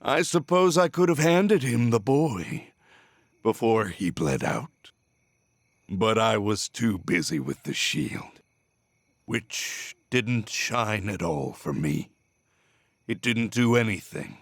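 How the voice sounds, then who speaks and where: An embittered villain of a video game, a middle-aged false father consumed by obsession. He speaks slowly and theatrically, with big swings in pitch and a taunting edge, recorded close and dry. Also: expressive, no echo, exaggerated voice. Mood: bitter, gloating. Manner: theatrical, taunting, drawn-out delivery. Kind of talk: dramatic video game voice acting.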